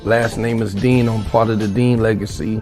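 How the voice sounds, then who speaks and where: A man introducing himself in a promo clip, calm and self-assured. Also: lowish voice, normal speed, soft background music. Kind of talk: promo spot talk. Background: hip hop beat.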